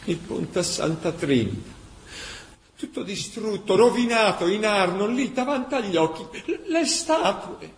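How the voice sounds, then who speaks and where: An actor playing a passionate Tuscan storyteller in a radio drama, telling wartime tragedy in an emphatic, theatrical way. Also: male voice, high voice, very animated, measured pace, heavily acted. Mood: mournful, emphatic. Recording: echoey room, faint background noise.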